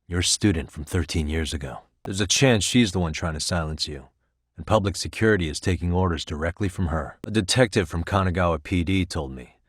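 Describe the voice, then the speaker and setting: Low, clean-cut streetwise male detective. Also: no room sound, clean studio sound. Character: determined, streetwise male detective, dogged and wry. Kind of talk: scripted video game dialogue.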